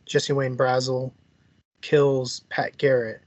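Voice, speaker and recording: Medium-pitched man chatting casually. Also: level tone, takes it slowly. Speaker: podcast guest. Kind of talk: casual chat, explaining local history.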